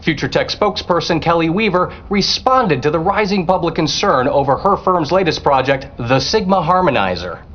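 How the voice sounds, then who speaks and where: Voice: mid-range voice, animated, normal speed, hardly any pauses, close to an everyday voice. A performer playing a serious news reporter in a video game, reading with formal urgency. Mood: serious, urgent. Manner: formal, urgent newsreader delivery. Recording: dull lo-fi game audio.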